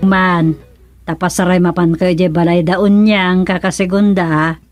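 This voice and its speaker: An actress with an Ilocano accent playing a chatty, concerned village wife in a radio comedy-drama. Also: female voice, lowish voice, flat delivery, unhurried, dry recording, soft background music, lightly acted.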